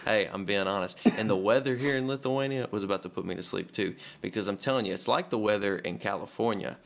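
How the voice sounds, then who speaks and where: Gravelly low-voiced podcast host. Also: male voice, fast, slight background noise. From Memphis, USA. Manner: joking, chatty. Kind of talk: casual podcast chat.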